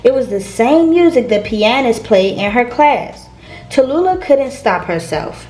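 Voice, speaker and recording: A woman's voice: a little high, sing-song, measured pace, a little mellow, soft background music. A woman reading a children's picture book aloud as a storyteller.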